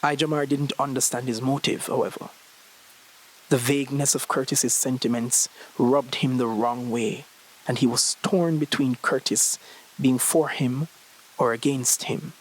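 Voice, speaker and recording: Story reader: young adult male, medium pitch, scripted literary narration. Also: steady tone, a little slow, low-quality recording.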